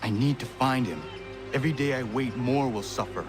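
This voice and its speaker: An actor playing a grave, driven young assassin in a video game, speaking in an earnest, stiff and formal way. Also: male voice, medium pitch, normal speed, over music, close to an everyday voice. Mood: brooding. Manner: earnest, stiff, formal speech without contractions. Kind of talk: dramatic video game acting. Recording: loud score and artillery behind dialogue.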